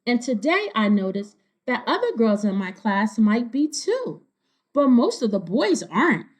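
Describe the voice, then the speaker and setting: An earnest, anxious little schoolgirl from a storybook, as a woman reads her. She speaks slowly and expressively, with pitch that rises and falls a lot. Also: big pitch swings. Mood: earnest, nervous.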